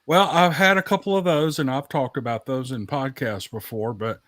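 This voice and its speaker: A man's voice: mid-range voice, moderate pace, a bit bright, no room sound. Folksy man chatting, close dry sound. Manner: folksy, repeats phrases for emphasis. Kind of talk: casual chat and anecdote telling.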